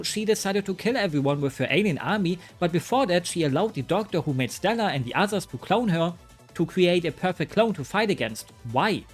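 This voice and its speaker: Wry, joking man reviewing games. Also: high voice. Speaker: game reviewer. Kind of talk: scripted commentary.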